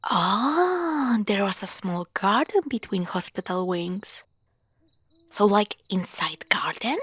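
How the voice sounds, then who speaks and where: Woman acting a lively, sarcastic young girlfriend. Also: medium pitch, slow, breathy edge, dry recording, heavily acted. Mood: casual, slightly impatient. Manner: teasing, sarcastic, giggly, expressive. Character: playful, sarcastic young girlfriend teasing and bantering. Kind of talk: spooky roleplay audio drama, acted one-sided dialogue.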